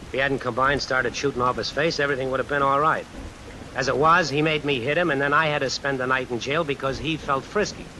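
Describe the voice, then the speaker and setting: Cocky American drifter, played by a man, crisp old-radio edge. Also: medium pitch, quick pace, close to an everyday voice, rough recording. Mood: irritated, sardonic. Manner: slangy, hard-boiled, sardonic. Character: brash drifter fresh out of jail, cocky and suspicious. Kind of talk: old-time radio suspense acting with first-person narration. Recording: vintage radio recording with hiss and rain. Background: steady rain sounds added over the broadcast.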